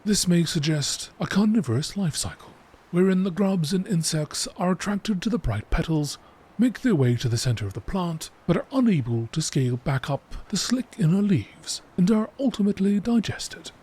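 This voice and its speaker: A man reading scripted narration in a measured, deliberate nature documentary style. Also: high-pitched, big pitch swings, darkish tone. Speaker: narrator reading game lore. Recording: clearly noisy recording.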